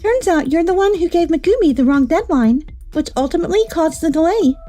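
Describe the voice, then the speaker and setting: A woman's voice: very high voice, lively intonation, fairly quick, mellow. A woman playing a composed, firm office investigator in a manga dub, formal and matter-of-fact as she accuses. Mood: firm, confronting. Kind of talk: anime-style manga dub dialogue. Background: background music.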